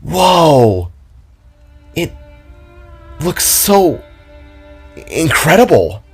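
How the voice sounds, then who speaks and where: A man's voice: a little high, sing-song, extremely slow, breathy edge, voice pushed up, heavily acted. A man acts out a female friend in an audio drama, supportive and curious toward her companions. Faint music plays behind the voice. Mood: awed.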